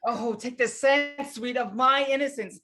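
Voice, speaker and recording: A woman's voice: sits high, measured pace, fairly bright tone. A woman playing an ardent young male lover, speaking verse in a stage play. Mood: tender. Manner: declamatory verse delivery. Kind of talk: Shakespearean stage acting.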